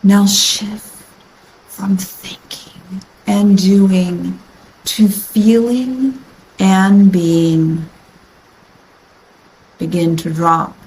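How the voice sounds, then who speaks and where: A woman guiding a yoga nidra meditation in a soothing, unhurried manner with long pauses, her phrases trailing into breathiness. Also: lowish voice, creaky, darkish tone, a little hiss or hum, rough recording. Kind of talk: guided meditation.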